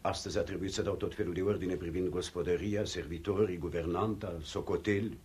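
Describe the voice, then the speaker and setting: An actor playing a crushed, humiliated and formal middle-aged husband in vintage radio theatre style. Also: male voice, low voice, fairly even intonation, a little mellow, breathy edge. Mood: exhausted.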